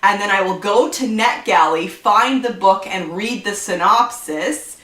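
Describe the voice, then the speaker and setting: A woman's voice: medium pitch, a little slow, a bit bright, echoey room, distant mic. A woman chatting casually about her reading habits on her book channel, stuffed up from allergies and rambling with lots of fillers. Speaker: book vlogger. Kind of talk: casual chat to camera.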